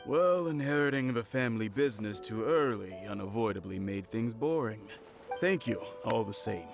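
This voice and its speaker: An actor playing a polite, wealthy innkeeper in a video game, courteous and earnest. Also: male voice, lowish voice, animated, moderate pace, vocal fry, over music. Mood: grateful, explaining. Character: polite, wealthy male innkeeper, effusively grateful.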